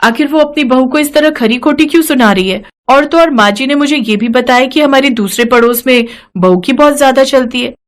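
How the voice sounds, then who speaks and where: A woman's voice: high-pitched, brisk, hardly any pauses, natural voice. A woman voicing a curious, puzzled young newlywed wife asking earnest questions in a moral story.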